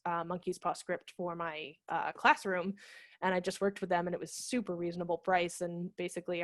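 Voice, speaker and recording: Low-voiced woman, close dry headset. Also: no room sound. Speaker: theater director and former teacher presenting a workshop. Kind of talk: informal instructional video-call presentation. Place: home room, indoors. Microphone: headset microphone on a video call.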